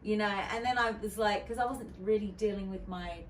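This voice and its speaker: A woman speaks as a guest on a podcast, candid and chatty, her thoughts circling through fillers and restarts. Her voice sits at an ordinary middle pitch. The mic is some distance off in a roomy space with a faint hiss behind her. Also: fairly even intonation, a little fast. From United Kingdom. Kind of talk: casual podcast interview.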